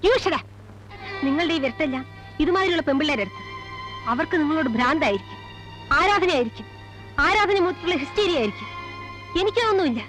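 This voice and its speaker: An actress playing a proud, sharp-tongued young woman in a film drama, scolding and disdainful, with an Indian Malayali accent. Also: high-pitched, animated, quick pace, pauses often, music in the background. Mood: defiant contempt. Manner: scolding, disdainful, English words mixed in. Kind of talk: heated film drama dialogue.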